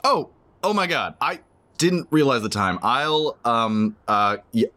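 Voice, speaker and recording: A man's voice: mid-range voice, animated, slow, pushed and bright. A man playing a shy, apologetic diner customer, hesitant and stammering, in a romantic audio drama. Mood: flustered, apologetic. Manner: hesitant, stammering, self-effacing.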